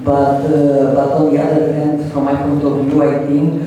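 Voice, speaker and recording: A man's voice: on the high side, completely flat delivery, normal speed, dark tone, muffled recording. A man with a Romanian accent, speaking in non-native English in a panel discussion. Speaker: real estate panel speaker. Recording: very echoey hall, distant, faint noise.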